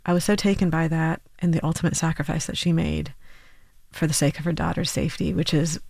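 A woman's voice: deep voice, fairly even intonation, a little fast, gravelly, darkish tone, dry recording, clean studio sound. A woman in her thirties, a musician, talking casually in an interview with laughs and "like". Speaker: musician guest. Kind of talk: interview conversation.

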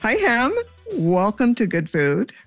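A woman's voice: mid-range voice, very animated, slow, dry recording, faint music behind. A woman presenting and interviewing as the host of a show, moving between prepared lines and conversation. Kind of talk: scripted introduction and conversational interviewing.

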